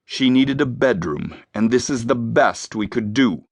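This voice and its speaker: A man playing a reasoning, tradition-minded theater manager in a full-cast audiobook, measured and placating. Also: medium pitch, lively intonation, creaky, dry recording.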